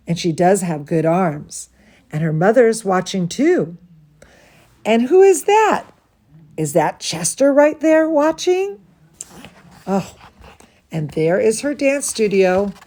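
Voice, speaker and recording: A woman's voice: fairly low pitch, warm tone. A woman reading a children's story aloud as a teacher would, expressively, with chatty asides and questions to her young listeners. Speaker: dance studio teacher reading a bedtime story to students.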